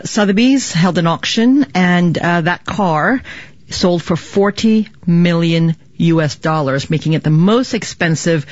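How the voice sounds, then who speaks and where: A woman's voice: low voice, no room sound. A woman gives a live business report in a conversational way, explaining as a correspondent. The sound is dry and somewhat muffled. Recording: dull lo-fi audio.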